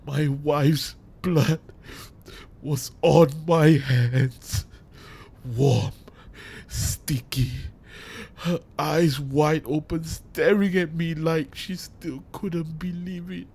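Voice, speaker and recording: A man acting a grieving, unhinged father turned vengeful villain in a dramatic audio monologue, with menacing, anguished delivery and long pauses. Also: a little high, steady tone, darkish tone, breathy edge, exaggerated voice. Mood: grief-stricken.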